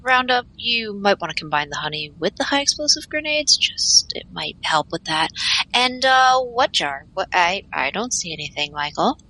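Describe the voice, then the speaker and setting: A woman's voice: medium pitch, very animated, normal speed, sharp tone, full character voice, poor audio. A woman playing a sly, teasing teammate in an audio drama, hesitant and playful as she feigns innocence.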